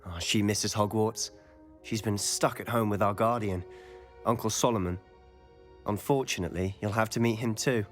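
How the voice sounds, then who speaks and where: An actor playing a charming teenage wizard schoolboy in a game, speaking in a lively, curious, confiding manner. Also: male voice, on the low side, moderate pace, soft background music, close to an everyday voice.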